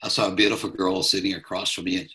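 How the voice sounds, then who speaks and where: A man playing a gruff old dad. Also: low voice, flat delivery, fast, pushed and bright. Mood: dry. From New York. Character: blunt elderly father, gruff and teasing.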